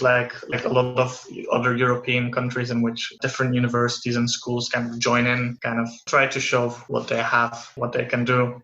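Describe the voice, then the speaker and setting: A young man from Belgium, a guest in an interview, explaining his work with thoughtful pauses and restarts. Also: medium pitch, bright, forceful tone, reverberant, dull audio, slight background noise. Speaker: interview guest, VFX artist. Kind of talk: casual interview conversation.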